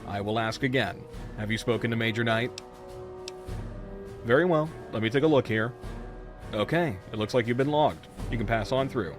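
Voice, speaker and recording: Male NPC checkpoint guard, performed by an actor: average pitch, formal, procedural, music underneath. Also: moderate pace, pauses often, close to an everyday voice. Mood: official, firm. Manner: official, clipped, procedural. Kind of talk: video game dialogue acting.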